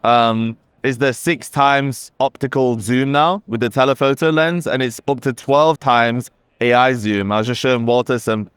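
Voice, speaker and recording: A man's voice: mid-range voice, normal speed, fairly bright tone, smooth, dry recording, clean studio sound. A man representing a company, giving an enthusiastic, casual product demo on a busy trade show floor. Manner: enthusiastic, conversational, with fillers. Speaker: company representative demoing a camera.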